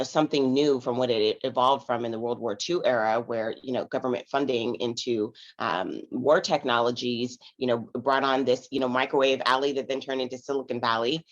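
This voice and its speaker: Woman, 40s, raspy hoarse voice, rambling with fillers, video call, slightly muffled audio. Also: rumbling low. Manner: rambling, many "you know" fillers. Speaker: investor guest. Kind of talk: casual conversational storytelling.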